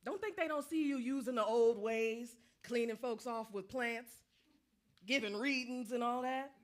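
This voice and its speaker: A woman's voice: a little high, measured pace, lightly acted. A woman acting a restless female soldier's ghost in a staged play reading, taunting and insistent in vernacular speech. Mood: reproachful.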